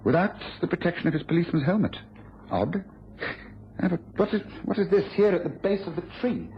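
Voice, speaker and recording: A sardonic, incisive English gentleman detective in an old British radio play. He talks fast and questions sharply, with faint impatience. The recording is dull and a little noisy. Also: sits high, expressive. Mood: inquisitive. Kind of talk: vintage British radio drama acting.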